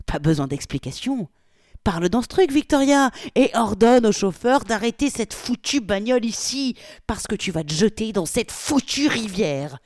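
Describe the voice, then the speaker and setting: A woman reading aloud voices a brutal, contemptuous male gangster who curses loudly and barks orders. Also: fairly high pitch, sing-song, normal speed, no echo, clean studio sound, voice pushed up. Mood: angry, threatening. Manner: loud, cursing, barking orders.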